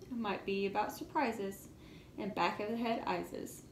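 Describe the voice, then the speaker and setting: A woman reading as an earnest, indignant young schoolchild in a storybook, with expressive emphasis. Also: medium pitch, unhurried, close to an everyday voice. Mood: cheerful, warming up. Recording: echoey room, faint background noise.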